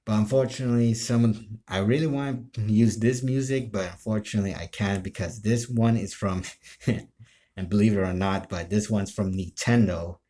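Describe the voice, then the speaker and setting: A man chatting casually to his viewers as a video creator, rambling and circling back over halting explanations. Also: on the low side, mellow. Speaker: video creator and amateur role-player.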